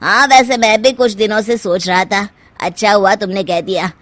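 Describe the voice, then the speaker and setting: A woman's voice: mid-range voice, steady tone, normal speed, natural voice. A woman voicing a reasonable, placating young husband in a family story.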